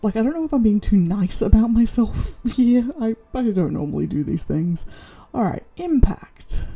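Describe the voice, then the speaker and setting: An Australian woman with a low, gravelly voice chats casually as she scores her own story. Her tone is dark and husky, and her pitch swings widely as she talks through her thoughts. She sounds self-deprecating and chatty. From Tasmania, Australia. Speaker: vlogger. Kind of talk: casual self-rating chat.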